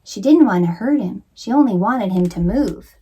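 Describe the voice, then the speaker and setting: Female children's storyteller, mid-range voice, expressive and theatrical picture-book narration. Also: mid-range voice, normal speed, very noisy. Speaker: children's author and librarian reading aloud.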